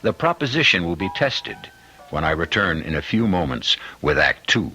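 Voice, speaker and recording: A man hosting and narrating a radio mystery drama in a theatrical, rhetorical style with deliberate emphasis. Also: low-pitched, big pitch swings. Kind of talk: scripted old-time radio mystery narration. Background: music.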